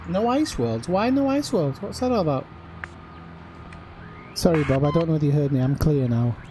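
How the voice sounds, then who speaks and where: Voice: mid-range voice, animated, normal speed, background noise. A livestream host chatting casually with friends while playing, apologetic and thinking aloud with fillers. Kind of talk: casual gaming chat with friends.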